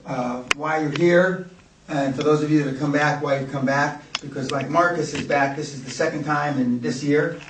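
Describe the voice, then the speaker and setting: A man's voice: fairly high pitch, fairly even intonation, moderate pace, background noise. A man hosting a seminar, talking brashly and rambling, with profanity. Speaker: seminar host. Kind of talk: blunt seminar talk. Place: seminar room indoors. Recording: echoey room from a distance.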